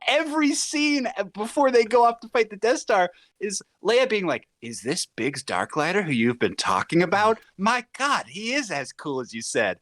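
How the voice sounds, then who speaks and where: A man chatting casually as a podcast panelist, animated and slipping into acted-out dialogue as he talks. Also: high-pitched, moderate pace, few pauses, no echo, studio quality. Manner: animated, acts out imagined dialogue. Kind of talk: casual pop-culture podcast chat.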